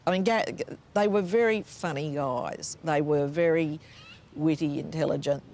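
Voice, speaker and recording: A man's voice: fairly high pitch, normal speed, background noise. A man talking conversationally in a documentary interview.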